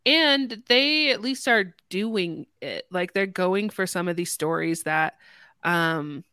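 A woman's voice: lowish voice, slow, sharp tone, smooth, no room sound, clean studio sound. A woman hosting a casual podcast, chatting informally with the other speakers. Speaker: podcast host.

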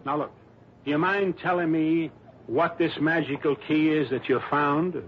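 An actor playing an excitable, grumbling, penny-pinching insurance man, folksy and slow to catch on, in 1960s radio style. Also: a little high, close to an everyday voice. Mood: wary, grudging. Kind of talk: 1960s radio drama acting. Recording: dull, noisy vintage radio recording.

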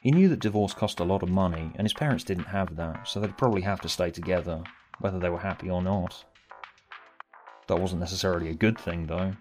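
A man's voice: low-pitched, fairly quick, darkish tone. A man reading a scripted story aloud as its narrator, with music behind him. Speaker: author and narrator.

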